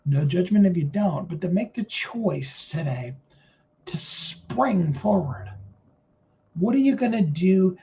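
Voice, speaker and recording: A middle-aged man with a naturally high voice giving an earnest, rambling motivational talk with many fillers and restarts. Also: animated, takes it slowly, warm tone, muffled recording, a little hiss or hum. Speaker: motivational speaker.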